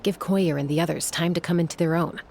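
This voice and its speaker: A woman's voice: fairly low pitch, fairly even intonation, fast, vocal fry, a little mellow, a little hiss or hum. An actress playing a cool, self-assured young warrior woman in a video game, speaking in a composed, frank way. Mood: frank, respectful. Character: cool, self-assured female warrior, lone-wolf peacemaker. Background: music.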